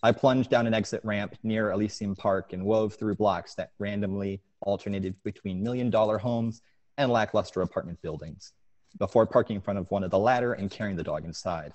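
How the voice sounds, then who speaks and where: A young man with a low-pitched voice speaks as an author at an online story event. He is at home on a video call, talking into an earphone mic. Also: a little fast, darkish tone, dull audio. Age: young adult. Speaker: author reading from his short story. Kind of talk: informal talk and story reading at an online event. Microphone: wired earphone mic on a video call.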